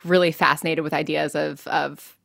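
A woman's voice: low voice, flat delivery, moderate pace, creaky, a bit bright, no echo, clean studio sound. An American woman speaking candidly as an interview guest, in a chatty, informal way with lots of "like" and self-interruptions. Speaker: interview guest, fiction podcast writer and producer.

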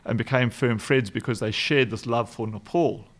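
A man's voice: medium pitch, moderate pace. A man with a South African accent, a charity director telling stories in an interview, with many ums and restarts. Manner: warm anecdotal, frequent ums and restarts. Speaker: guest, charity executive director. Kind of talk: relaxed storytelling interview.

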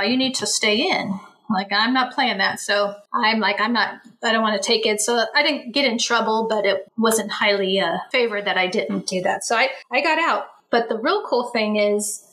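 Bright-voiced woman telling her story. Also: on the high side, poor audio. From San Francisco Bay Area. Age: 40s. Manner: chatty, many restarts and asides. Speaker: interview guest, Navy veteran. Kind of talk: casual storytelling.

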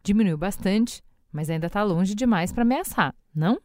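A Brazilian woman co-hosting a podcast, narrating news background in a measured, explanatory way. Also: medium pitch, lively intonation, darkish tone, dry recording, studio quality. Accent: Brazilian Portuguese. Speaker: podcast co-host.

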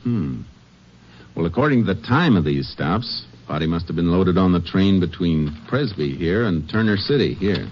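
A shrewd Texas Ranger speaks in a deep, low voice. He is calm and terse, understating everything, as in an old western radio drama with dull sound. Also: moderate pace, slight background noise. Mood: calm, reasoning.

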